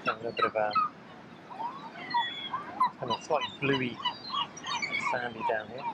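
A man talks through a watercolour painting outdoors, his voice medium in pitch and relaxed. He drops dry jokes and murmurs while he works. Loud beach ambience and seagulls surround him. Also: measured pace. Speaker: painting tutor. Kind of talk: casual painting demonstration. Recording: loud outdoor background noise.

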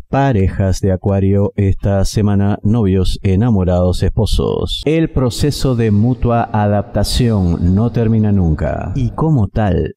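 A middle-aged man delivers a weekly tarot horoscope in a low, deeply mellow voice. He speaks into a headset mic in a dry studio. His phrasing is measured and emphatic, stacking short phrases one after another. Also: dry recording. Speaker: tarot reader. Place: small studio with green screen.

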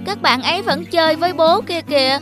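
A woman's voice: very high-pitched, a little fast. A woman voicing a wistful, whiny little boy in a cartoon, childish and pleading. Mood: sulky. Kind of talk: cartoon dialogue. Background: music.

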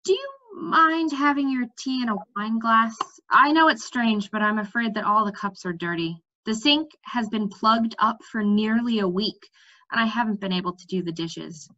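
A woman voicing a brisk, practical, outspoken young princess in a storybook, matter-of-fact and emphatic. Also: on the high side, sharp tone. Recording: slightly dull webcam audio.

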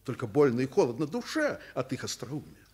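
An actor playing a principled, fatherly male school headmaster in a radio drama, speaking deliberately and emphatically with pauses between phrases. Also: mid-range voice, big pitch swings, a little mellow, husky. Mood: earnest, impassioned. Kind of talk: Soviet radio drama acting.

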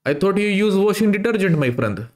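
A man's voice: high voice, expressive, brisk. A young Indian man on a headset chatting with strangers, putting on a fake Russian accent in broken English. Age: young adult. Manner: mock Russian broken English, repeats "my friend". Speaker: streamer trolling strangers. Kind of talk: put-on Russian accent prank chat. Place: indoor room, video chat.

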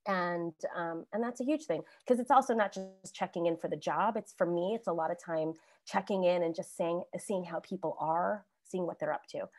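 A woman on a video call panel telling stories about her acting career in a chatty, animated way, laughing often and restarting sentences. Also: fairly low pitch, moderate pace, few pauses, faint music behind. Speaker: alumni panelist, actor.

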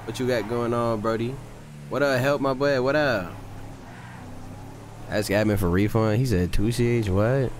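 A man's voice: mid-range voice, steady tone, normal speed, long pauses. A man streaming a crime roleplay game, bantering in profane, heavy street slang. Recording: noisy game audio with car sounds. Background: game ambience and vehicle noise.

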